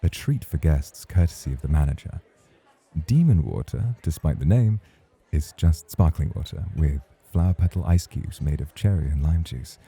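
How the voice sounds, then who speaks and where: A man's voice: extremely low pitch, animated, moderate pace, mellow, full character voice. A man playing a suave, teasing champion nightclub host in a romantic ASMR roleplay, speaking in a courtly, provocative way. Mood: charming. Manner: smooth, courtly, playfully provocative.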